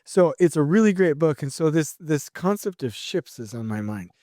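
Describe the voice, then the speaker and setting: A man's voice: a little high, normal speed, no room sound, clean studio sound. A middle-aged man hosting a solo podcast, talking energetically with frequent restarts, his throat a little sore and scratchy. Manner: energetic, rambling, many restarts. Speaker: podcast host.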